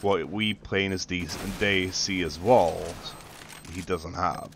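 Deep, slow gaming host. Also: male voice, steady tone, very noisy. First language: Swedish. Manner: mocking, taunting, casual swearing. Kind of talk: sarcastic gameplay commentary. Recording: game gunfire under voice.

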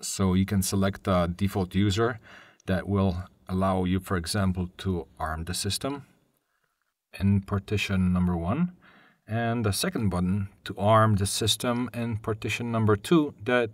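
Low-voiced man presenting a software tutorial. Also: normal speed, dry recording. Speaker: webinar presenter, technical specialist. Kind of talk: live software tutorial narration. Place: voice-over on a screen-share webinar.

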